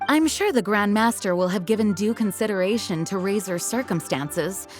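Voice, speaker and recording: An actress playing a dutiful female knight commander, speaking in a formal, measured, courteous way. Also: mid-range voice, expressive, soft background music, lightly acted.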